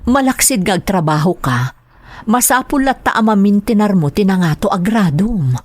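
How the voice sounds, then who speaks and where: A woman's voice: medium pitch, expressive, moderate pace, a little mellow, no echo, clean studio sound, natural voice. An actress with a Filipino accent playing a protective, anxious mother in a radio drama, pleading and emotional. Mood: worried. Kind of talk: Ilocano radio-style drama acting.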